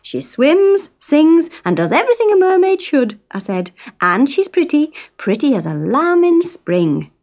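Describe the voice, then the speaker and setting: A woman's voice: high-pitched, big pitch swings, measured pace, hardly any pauses, a little mellow, pitched above the performer's own voice, full character voice. A woman voicing a cheerful, boastful working-class father. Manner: hearty, pleased with himself.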